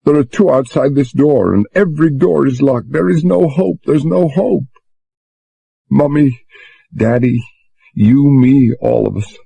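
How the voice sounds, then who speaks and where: A man's voice: medium pitch, lively intonation, a little slow, gravelly, long pauses, dark tone, husky, dry recording, pitched above the performer's own voice, heavily acted. A man voicing a frightened young woman who pleads through tears, breathless and near sobbing. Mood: tearful.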